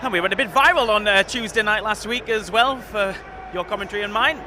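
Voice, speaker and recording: A man hosting a live football broadcast, lively and leading the talk with questions, speaking outdoors at a stadium. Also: high-pitched, a little fast, faint music behind. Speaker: show host. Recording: loud outdoor noise behind.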